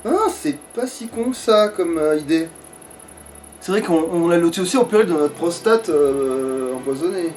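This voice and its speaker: A man's voice: fairly high pitch, lively intonation, moderate pace, a little mellow, faint music behind. A man playing a game and chatting informally, swearing and trailing off mid-thought. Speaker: let's play player. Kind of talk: casual gaming chat.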